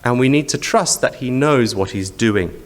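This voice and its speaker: A man's voice: medium pitch, normal speed. A man with a Zimbabwean accent preaching a sermon in a conversational, explanatory way, with rhetorical questions and repeated phrases. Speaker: church preacher.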